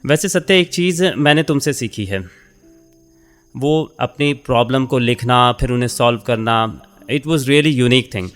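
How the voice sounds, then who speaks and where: A man's voice: mid-range voice, unhurried, a bit bright, lightly acted. Middle-aged man, acted avuncular senior boss, encouraging, chatty, English phrases mixed in, radio play, music behind. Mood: fond, admiring. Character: avuncular senior male editor, warm and talkative. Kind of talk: radio drama acting in Hindi with English phrases.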